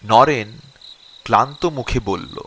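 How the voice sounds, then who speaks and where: A man narrating a scripted story expressively, in short phrases with dramatic pauses. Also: medium pitch, fairly bright tone, slight background noise.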